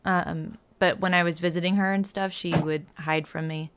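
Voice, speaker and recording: Young adult female streamer, slightly low smooth voice, relaxed group conversation. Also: moderate pace. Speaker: streamer and script reader. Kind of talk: casual group chat.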